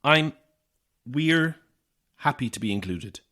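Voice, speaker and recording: A man's voice: mid-range voice, expressive, takes it slowly, pushed and bright. An actor playing a lonely, weary Irish man in his thirties in a naturalistic audio horror drama, polite, hesitant and dry in manner. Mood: eager, polite. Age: 30s.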